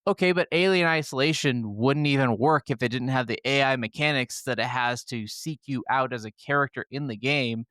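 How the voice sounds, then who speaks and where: A man's voice: mid-range voice, brisk, hardly any pauses, fairly bright tone, no echo, studio quality. A man co-hosting a gaming podcast, giving his opinions in casual conversation. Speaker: podcast co-host.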